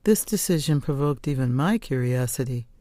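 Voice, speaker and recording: A woman's voice: very deep voice, lively intonation, moderate pace, warm tone, dry recording, studio quality. A woman narrating a scripted first-person novel as an audiobook reader.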